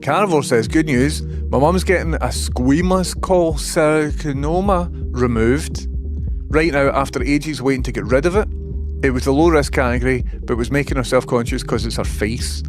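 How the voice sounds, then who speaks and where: Chatty Scottish man with music behind. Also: mid-range voice. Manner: rambling, informal, chatty. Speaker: livestream host. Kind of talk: casual livestream chat, replying to viewers. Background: music playing.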